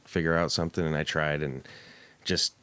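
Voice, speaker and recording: A man's voice: very deep voice, little pitch movement, normal speed, bright, forceful tone, clean tone, dry recording. A man from Oregon hosting a casual podcast, talking in a crude, profane and joking way. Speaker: podcast host.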